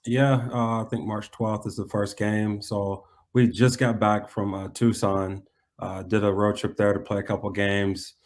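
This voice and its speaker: A man's voice: on the low side, flat delivery, a little slow. An American man, a soccer head coach, answering interview questions conversationally. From United States.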